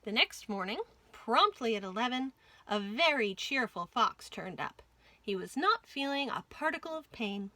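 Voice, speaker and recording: Bright, animated woman storyteller. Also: fairly high pitch, normal speed, dry recording. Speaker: children's storytime host. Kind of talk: expressive scripted children's story narration.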